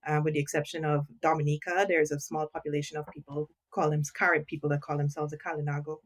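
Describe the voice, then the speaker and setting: A middle-aged woman from Guyana speaks in a low voice as a podcast guest. She explains carefully and thoughtfully, pausing with frequent ums. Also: little pitch movement. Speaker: guest professor. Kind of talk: podcast interview.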